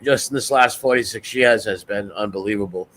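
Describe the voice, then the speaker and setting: A man's voice: fairly low pitch, fairly quick, noisy background. A man in his forties hosting a casual political chat, blunt and rhetorical, often tagging "right?" onto his points. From United States. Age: 40s.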